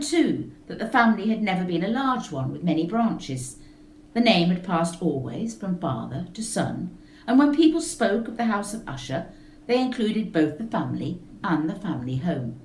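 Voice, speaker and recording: A woman narrating a gothic story in a measured, expressive reading. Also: medium pitch, reverberant, slight background noise, rough recording. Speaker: reader of a story. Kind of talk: scripted narration of a gothic tale.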